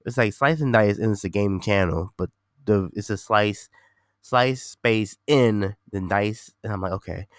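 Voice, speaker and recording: A man's voice: lowish voice, a little slow, no room sound, studio quality. A man hosting his own podcast, sounding nasal and congested from a cold, rambling casually with repeats and fillers. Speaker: podcast host. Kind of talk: casual solo podcast talk.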